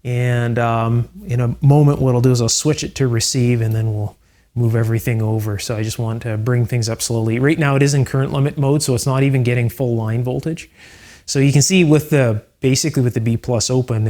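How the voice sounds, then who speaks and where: A man hosting an electronics restoration video, explaining each step calmly and methodically, with frequent fillers. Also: mid-range voice, fairly quick. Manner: calm, methodical, with fillers like "uh" and "you know". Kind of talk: hands-on technical explanation.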